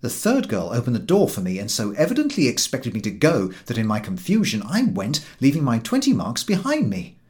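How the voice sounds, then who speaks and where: A man narrating a humorous story as an audiobook reader, in an expressive scripted style. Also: medium pitch, a little fast, hardly any pauses.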